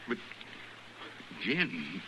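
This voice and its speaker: An American actor playing an earnest, bewildered soldier husband, speaking haltingly in a radio western. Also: male voice, fairly low pitch, very animated, heavily acted. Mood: bewildered, hurt. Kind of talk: 1950s radio western acting. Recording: noisy old-time radio recording.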